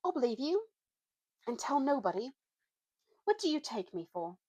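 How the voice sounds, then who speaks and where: Woman playing a high-pitched, spirited schoolgirl. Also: very animated, moderate pace, a little mellow, slightly rough, heavily acted. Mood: resolute. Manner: blunt, questioning, British schoolgirl slang. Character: spirited, skeptical schoolgirl, curious and blunt.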